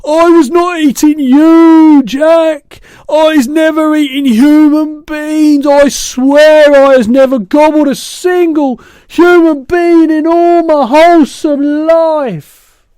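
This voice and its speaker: Terrified brutish giant, very high. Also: male voice, steady tone, warm tone, no room sound. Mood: frantic pleading. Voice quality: screechy, bellowing. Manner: pleading, drawn-out wailing in broken grammar. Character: huge brutish man-eating giant in terrified nightmare.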